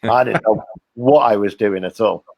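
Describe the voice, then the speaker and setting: A man's voice: fairly low pitch, normal speed, gravelly, no echo, muffled recording. A middle-aged man from Liverpool with a Liverpool accent, guest on a casual interview podcast, chatty and jokey, talking with plenty of "uh" and "um". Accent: Liverpool English. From England (Liverpool). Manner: chatty, jokey storyteller with frequent "uh" and "um". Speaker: guest, hospitality company director. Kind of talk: casual interview over video call.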